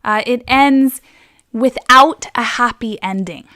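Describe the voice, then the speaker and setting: A woman presenting a gentle, explanatory devotional talk for children. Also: fairly high pitch, slow. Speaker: children's story presenter at church.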